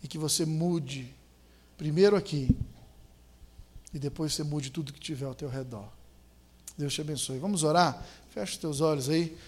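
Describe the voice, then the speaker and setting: A man's voice: a little high, normal speed, pauses often, darkish tone. A man preaching a sermon, telling a story with rising, emphatic build-ups.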